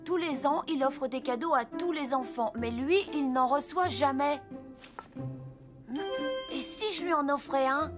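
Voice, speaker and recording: A woman's voice: sits high, unhurried. A woman voicing a kind, eager little boy, an apprentice Santa in a French cartoon, with an earnest, youthful delivery. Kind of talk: French animated series dubbing. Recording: music behind the voice.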